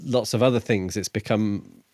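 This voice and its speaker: A man with a fairly low voice speaks in a dry, close recording. He co-hosts a book podcast in a casual, conversational style, qualifying his opinions gently as he goes. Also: steady tone, moderate pace, no echo. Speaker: podcast co-host.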